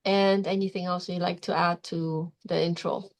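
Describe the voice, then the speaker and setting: A woman's voice: fairly low pitch, little pitch movement, moderate pace. A woman from Europe speaking as the host of an interview podcast.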